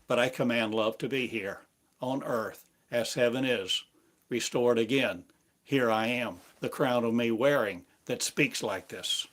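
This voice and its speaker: A man preaching a prophetic sermon, speaking slowly and solemnly in the voice of God, with long pauses between phrases. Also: medium pitch, a bit bright.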